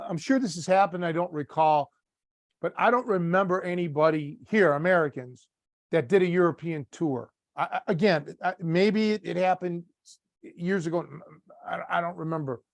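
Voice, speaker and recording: A middle-aged man chatting casually as host, his voice sitting a little high. He speaks haltingly, often restarting his sentences. The sound is dry and close. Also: dry recording. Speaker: host, catch wrestling coach. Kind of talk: casual podcast chat.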